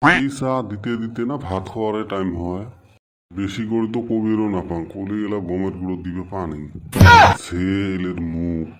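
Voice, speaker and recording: A man's voice: low-pitched, steady tone, normal speed, a little hiss or hum, close to an everyday voice. A man playing a stingy village husband and father in a comedy cartoon, muttering sarcastic complaints in a rural northern Bengali border dialect. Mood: grumbling. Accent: rural northern Bengali dialect of the Assam-Bengal border.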